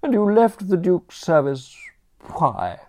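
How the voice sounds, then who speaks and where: A man's voice: sits high, huge pitch swings, takes it slowly, darkish tone, no echo, studio quality, voice pushed up, heavily acted. A man voicing a stern, formidable elderly aunt who speaks with grand, clipped disapproval. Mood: imperious.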